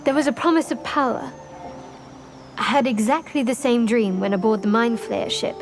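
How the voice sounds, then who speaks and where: A woman playing a guarded but candid adventurer in a fantasy video game, speaking in a knowing, measured, confiding way. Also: on the high side, natural voice. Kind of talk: video game dramatic acting. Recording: music behind the voice.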